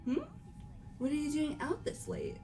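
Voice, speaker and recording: A woman's voice: high voice, sing-song, moderate pace, mellow, soft background music. A woman playing a refined, calculating gothic teenage girl in game dialogue, with a poised, formal manner. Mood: probing. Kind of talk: fan dub of visual novel game dialogue.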